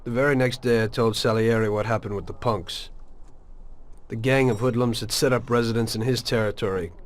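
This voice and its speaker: A man's voice: mid-range voice, steady tone, brisk, natural voice. A man playing a dutiful, matter-of-fact mobster in a video game. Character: dutiful, matter-of-fact male mobster recounting his past. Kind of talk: video game cutscene acting and narration.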